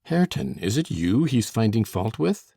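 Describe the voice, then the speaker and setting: A furious older master of the house, acted by a woman narrator, deep and husky. He commands and threatens with savage menace, in the manner of a classic novel's tyrant. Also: sing-song, normal speed, dark tone, no echo, clean studio sound, heavily acted. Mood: angry, scornful.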